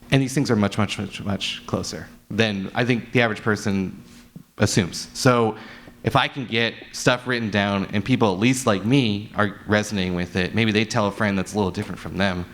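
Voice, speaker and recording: A man's voice: fairly low pitch, steady tone, moderate pace, fairly bright tone. A man speaking earnestly and off the cuff on a panel as an audio drama creator, rambling and repeating words for emphasis. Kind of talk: unscripted panel talk. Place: live convention panel. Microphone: wired panel microphone.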